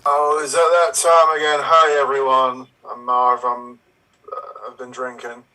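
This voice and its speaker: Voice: medium pitch, flat delivery, bright, forceful tone, low-quality recording. Someone playing a groggy hungover drunk. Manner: slow, groggy mumbling.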